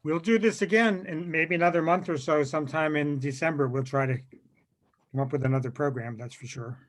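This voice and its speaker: A man's voice: a little high, brisk. A man casually hosting a meeting over a video call. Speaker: meeting host. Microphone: video call microphone.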